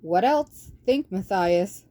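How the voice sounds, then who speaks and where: A woman's voice: mid-range voice, lively intonation, slow pace, mellow. Woman as a grave old mouse abbot. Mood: grave, probing. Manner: formal, probing. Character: kindly, grave old male mouse abbot questioning others.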